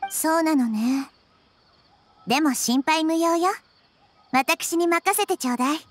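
A woman playing a wise, kindly childlike girl deity in an anime-style game, speaking in a calm, reassuring, articulate way. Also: high-pitched, a little slow.

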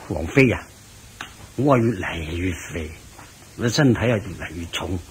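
A weary, overweight king in a radio drama. His voice sits fairly low, and he sighs and grumbles in an unhurried, regal way. There is faint noise on the recording. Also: expressive. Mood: weary, grumbling. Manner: sighing, complaining, unhurried. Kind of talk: Cantonese radio drama acting.

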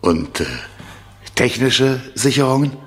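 An actor playing an eager, polite American travel businessman with a halting, hedging manner in a 1970s German radio play. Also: medium pitch, lively intonation. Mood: nervous.

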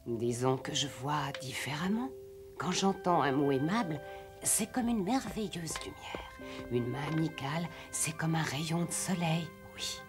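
A woman voicing a gentle, frail old grandmother in a French cartoon dub, soft, tender and resigned. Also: low-pitched, lively intonation, a little slow, music in the background. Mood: tender gratitude. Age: older. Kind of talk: French animated series dub.

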